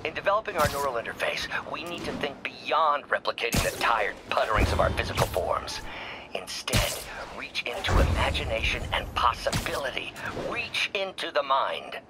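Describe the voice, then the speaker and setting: An actor voicing an older visionary scientist in a video game, weary yet grandiose, with measured oratory. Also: male voice, mid-range voice, very animated, over music, close to an everyday voice. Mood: grandiose, impassioned. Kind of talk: game voice acting.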